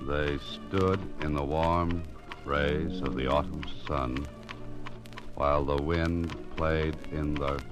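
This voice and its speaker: A brooding writer in a 1940s radio drama. His voice is extremely deep and he speaks slowly and deliberately over loud music on an old recording. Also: fairly even intonation. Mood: musing, weary. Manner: measured, deliberate. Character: brooding male writer narrating his own story.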